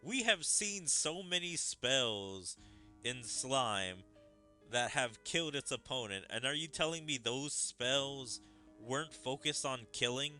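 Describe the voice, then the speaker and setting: A man's voice: mid-range voice, animated, slow, bright, forceful tone. A man streaming a game, reading story dialogue aloud expressively and adding his own commentary over game music. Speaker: gaming streamer.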